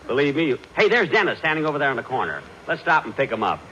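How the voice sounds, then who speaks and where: A man acts out a vain, fussy star of an old-time radio comedy, easily exasperated. The voice sits a bit high and swings widely in pitch. The recording is muffled. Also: big pitch swings, quick pace, very noisy. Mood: chatty.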